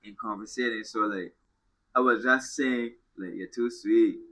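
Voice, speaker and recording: A man from Barbados with a Bajan accent and a husky voice, a soca singer chatting casually in dialect in an interview. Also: on the low side, measured pace, fairly bright tone, rough recording.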